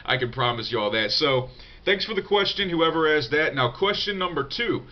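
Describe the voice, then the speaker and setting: A man's voice: fairly high pitch, animated, moderate pace, dull audio. A young man with a congested, nasal voice from a cold, answering viewer questions in a loose, profane vlog with lots of fillers. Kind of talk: casual unscripted vlog talk.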